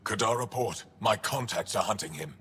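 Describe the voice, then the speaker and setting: An actor playing a stern, guarded alien resistance commander in a video game, gruff and curt. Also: male voice, fairly low pitch, animated, moderate pace, fairly bright tone, slight background noise. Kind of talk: dramatic video game acting. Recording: long echoing reverb.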